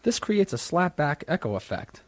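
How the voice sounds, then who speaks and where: A man reading scripted instructional narration as a software tutor, in a measured, explanatory manner. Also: on the high side, sing-song, no room sound. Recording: dry studio narration from a 1990s tutorial cassette.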